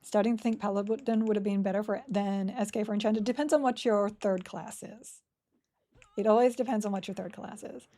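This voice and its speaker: A woman's voice: medium pitch, dark tone. A woman streaming a game live, chatting casually and rambling while she plays and reads chat aloud.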